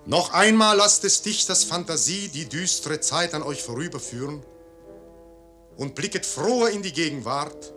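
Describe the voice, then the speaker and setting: An actor reciting a solemn verse prologue in a grave, elevated manner. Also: male voice, on the high side, pushed and bright, heavily acted. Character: solemn male prologue speaker.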